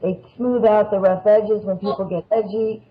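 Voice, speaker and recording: Male panel guest, notably high voice, explanatory and anecdotal, casual talk, dull lo-fi recording. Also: fairly even intonation, normal speed. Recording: dull lo-fi audio.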